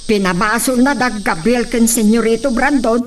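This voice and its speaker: A woman's voice: a little high, moderate pace, recorded from a distance, a little hiss or hum, close to an everyday voice. A woman playing a timid, gossiping maidservant in a radio drama, deferential and hesitant. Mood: anxious, tattling.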